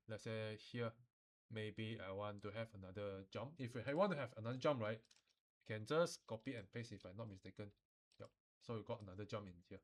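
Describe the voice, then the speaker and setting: Man with a Malaysian or Singaporean accent, teaching. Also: mid-range voice. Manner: colloquial phrasing with sentence-final particles like lah and lor. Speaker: animation software instructor. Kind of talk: informal step-by-step software tutorial.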